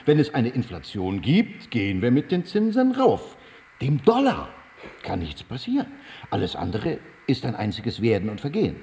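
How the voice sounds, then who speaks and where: An actor playing a pompous prison priest in a German radio drama, lecturing emphatically in a preachy manner. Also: male voice, mid-range voice, a little mellow. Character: pompous male prison priest explaining God through money.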